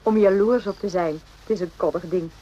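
An actress playing a playful, flirtatious, self-assured army doctor in a radio drama, with a light, teasing manner. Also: female voice, fairly low pitch, fairly quick, dark tone, lightly acted. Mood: light, amused. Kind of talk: radio drama acting in Dutch. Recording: dull radio recording, faint hiss.

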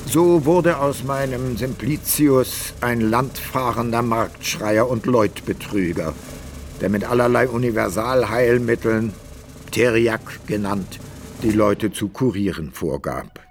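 A man narrating a literary radio drama in an expressive, measured storytelling manner. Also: medium pitch, slight background noise.

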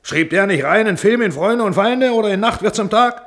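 Pompous film studio boss. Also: male voice, high voice, expressive, a little fast, fairly bright tone. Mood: self-satisfied, patronizing. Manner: pompous, patronizing, self-satisfied. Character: self-important, jovial middle-aged male film studio boss. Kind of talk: radio drama acting.

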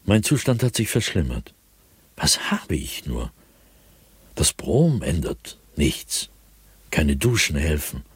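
A man playing an anguished, fearful, solitary diarist in a radio drama, speaking in a husky, brooding voice with sudden swells and hushed drops. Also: very deep voice, very animated, takes it slowly, long pauses, no room sound, heavily acted. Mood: weary, despondent. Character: anguished, fearful, solitary male diarist haunted by nightly dread. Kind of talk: German radio drama monologue, diary read dramatically.

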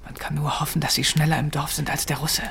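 An actor playing a shy, earnest seventeen-year-old farm milker in a German radio drama. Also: male voice, fairly high pitch, flat delivery, fast talker, creaky, a bit bright, husky, heavily acted. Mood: hushed. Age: young adult. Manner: hesitant, modest, earnest.

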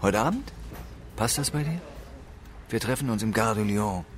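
A man's voice: lively intonation, moderate pace, slight background noise, lightly acted. An actor playing a weary, melancholic homeless man who broods over his lost family in a radio drama, with subdued delivery. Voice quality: low, gravelly. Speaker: narrator and protagonist. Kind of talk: radio drama first-person narration and dialogue.

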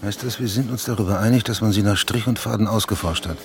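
An actor playing a persistent, probing investigative journalist in a naturalistic radio drama, speaking in an insistent, conversational way. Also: male voice, on the low side, quick pace, soft background music, natural voice. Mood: explanatory, intent.

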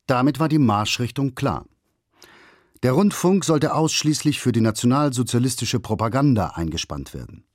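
A man reading scripted documentary narration in a measured, factual manner. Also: mid-range voice, lively intonation, no echo, studio quality. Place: studio.

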